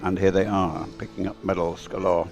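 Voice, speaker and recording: Low, gravelly male sports commentator. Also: little pitch movement, moderate pace, soft background music. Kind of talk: casual unscripted live race commentary.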